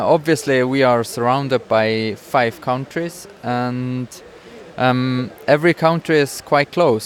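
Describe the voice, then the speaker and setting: A man from Switzerland with a Swiss accent, co-hosting a casual podcast and chatting while he sometimes searches for English words. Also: medium pitch. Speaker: podcast co-host. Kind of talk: casual chat. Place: outdoors in a busy town square.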